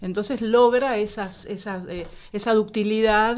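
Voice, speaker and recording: A woman's voice: mid-range voice, steady tone, unhurried. An Argentine woman, a voice teacher, speaking emphatically in a conversational discussion. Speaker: voice teacher, panelist.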